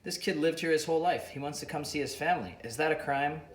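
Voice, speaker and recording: A man's voice: fairly high pitch, fast talker, recorded from a distance, pitched above the performer's own voice, close to an everyday voice. An irritable professor, as a man reads him out, firm and defensive in his replies. The storybook narration sounds roomy and echoey. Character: irritable older male professor.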